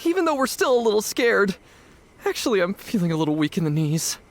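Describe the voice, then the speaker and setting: A woman's voice: medium pitch, sing-song, a little fast, slight background noise. A woman voicing a timid, earnest young gang member in a video game, hesitant and stumbling. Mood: nervous. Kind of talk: scripted video game voice acting.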